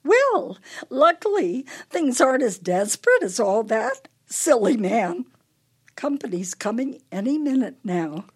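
A woman's voice: a little high, very animated, a little slow, a little mellow, slightly rough, exaggerated voice. A woman playing an imperious, brittle older wife with a failing memory in a radio play, her delivery theatrical and needling. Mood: flustered, anxious.

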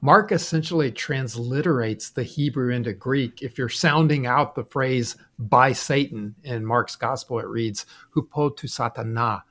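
A man's voice: mid-range voice, a little slow, hardly any pauses, a bit bright. A man co-hosting a podcast, explaining Bible passages in a conversational, explanatory way and sometimes chuckling. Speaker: podcast co-host.

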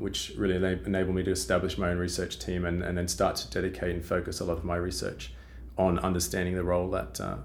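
A middle-aged man from Australia with an Australian accent softened by years in Britain, explaining research in a podcast interview with frequent fillers and restarts. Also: low-pitched, gravelly, slight background noise. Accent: Australian with British influence. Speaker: guest scientist.

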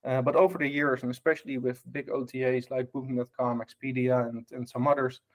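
Dutch-accented man, halting interview guest. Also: medium pitch, level tone. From Netherlands. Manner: many fillers and restarts, tag questions. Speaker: guest, hospitality tech lead. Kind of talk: casual podcast interview.

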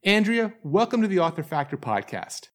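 A man's voice: sits high, very animated, normal speed. A man hosting an interview podcast in a friendly way, with small restarts as he talks. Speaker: podcast host.

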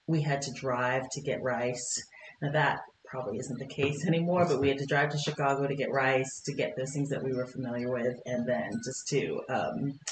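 A middle-aged woman from Kentucky, a podcast guest, talking in a warm, chatty way with frequent laughs and fillers. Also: a bass voice, reverberant, a little hiss or hum, rough recording. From Kentucky, United States. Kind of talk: conversational interview. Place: podcast studio.

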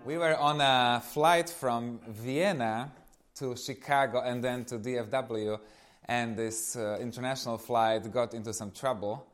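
A man in his thirties from eastern Slovakia, with a Slovak accent, speaks informally to an audience as a guest giving a personal testimony, telling his stories in an animated way. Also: medium pitch, measured pace.

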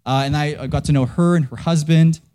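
A man's voice: a little high, steady tone, brisk, mellow. A young man from Canada preaching a sermon as a pastor, telling a story in a casual, self-deprecating way with lots of fillers and restarts.

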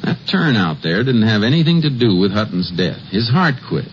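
An actor playing a steady, suspicious Texas Ranger investigator in a 1950s western radio drama, speaking in a clipped, businesslike, authoritative way. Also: male voice, on the low side, normal speed, slight background noise. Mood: pensive, resolute. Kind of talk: 1950s western radio drama acting with first-person narration. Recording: dull old radio recording with music.

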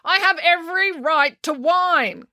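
A woman's voice: moderate pace, no echo, studio quality. A woman voicing a whiny, overwrought young boy in a children's story, wailing and indignant. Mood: panicked, indignant. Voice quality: shrill yelling.

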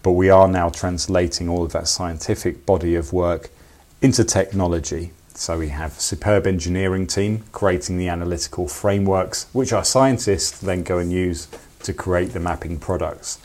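Man, very low voice, podcast co-host, enthusiastic chat. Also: fairly even intonation, moderate pace, darkish tone. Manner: enthusiastic, upbeat.

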